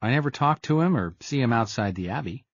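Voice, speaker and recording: A man's voice: medium pitch, expressive, fast, no echo, dull audio. A male narrator voicing a jittery, fidgety man who keeps pleading to be trusted. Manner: pleading, insistent.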